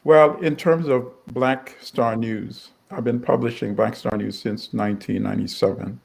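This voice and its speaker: A middle-aged man from Uganda, a publisher telling his story in an interview in a measured, wry way with frequent restarts. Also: mid-range voice, steady tone, vocal fry, a little mellow, slightly rough.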